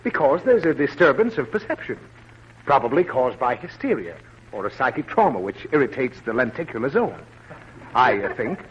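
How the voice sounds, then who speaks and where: A man's voice: mid-range voice, very animated, moderate pace, breathy edge. An actor playing a fussy, impatient, easily flustered father in a 1940s radio comedy style. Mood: pompous explaining. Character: exasperated, easily flustered middle-class father. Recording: dull, noisy old radio recording.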